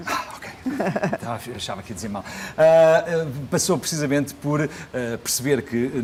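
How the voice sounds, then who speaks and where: Portuguese TV host, hesitant and bilingual. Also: male voice, medium pitch, slight background noise. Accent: European Portuguese. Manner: hesitant, many fillers, switches between Portuguese and English. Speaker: TV show host. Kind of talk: hosting and translating on a TV talk show. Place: TV studio with audience.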